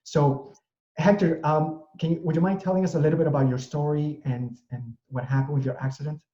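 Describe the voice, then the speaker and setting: A man's voice: fairly high pitch, steady tone, brisk, warm tone. A middle-aged man hosting an interview, talking in long, rambling sentences full of restarts. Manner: rambling, many restarts and fillers. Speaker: interview host, real estate broker. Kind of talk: casual conversational interview. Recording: echoey room, dull audio.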